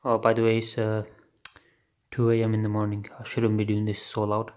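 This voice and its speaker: A man chats his way through an unboxing in a flat, offhand voice, dropping the odd swear word as he thinks aloud. He is recorded on a phone held above a table at home late at night. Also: on the low side, a little fast. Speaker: unboxing video host. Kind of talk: casual unboxing chat. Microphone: phone camera filming hands on a table.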